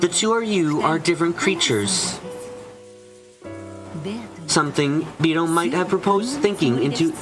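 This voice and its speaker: Guy doing a solemn detective girl, formal explainer, bit high for a guy, music behind. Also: lively intonation, a little slow, pauses often, rough recording. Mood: solemn, reassuring. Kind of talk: visual novel fan dub acting. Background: background music.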